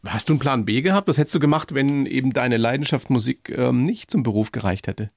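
A man's voice: medium pitch, fast. A man interviewing a guest in a casual, familiar, conversational way.

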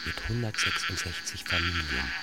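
A man's voice: medium pitch, wildly swooping pitch, moderate pace, very noisy. A man reading a scientific scripted narration for a sound-art piece, listing technical terms like a lecturer. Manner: enumerating Latin taxonomic terms like a lecture. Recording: loud electronic music and insect sounds underneath. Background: techno beats with chirping and buzzing insects.

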